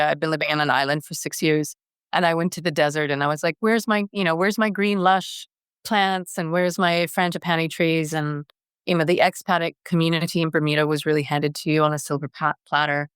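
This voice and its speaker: A woman's voice: deep voice, smooth, dry recording, studio quality. A middle-aged woman from Canada, a guest in an interview, talking earnestly and often restarting her sentences. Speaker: guest, wellness strategy director. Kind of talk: conversational interview.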